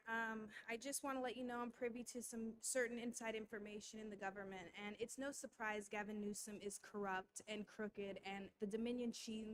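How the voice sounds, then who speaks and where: A woman's voice: mid-range voice, rough recording. A woman giving a public comment at a school board meeting, speaking in a conspiratorial tone and tossing in rhetorical tag questions.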